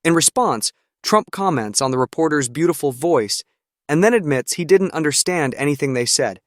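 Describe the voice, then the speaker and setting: A man narrating news clips in a brisk, critical voice-over. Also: on the high side, lively intonation, dry recording, studio quality. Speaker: news commentator.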